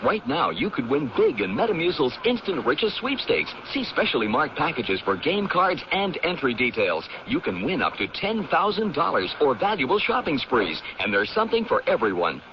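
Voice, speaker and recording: A man's voice: on the high side, huge pitch swings, moderate pace, hardly any pauses. A man reading a scripted radio advertisement as a commercial announcer. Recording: dull, noisy radio recording.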